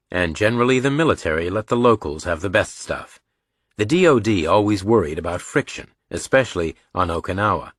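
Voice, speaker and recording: Low-voiced male audiobook narrator, close and dry. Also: fairly bright tone, slightly rough, no echo. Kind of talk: scripted dramatic narration of a thriller.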